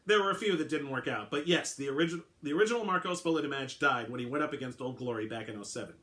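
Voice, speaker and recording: A man's voice: medium pitch, fast, sharp tone, natural voice. A man voicing an eccentric, oddly formal male clone who explains patiently and keeps correcting himself. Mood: explaining.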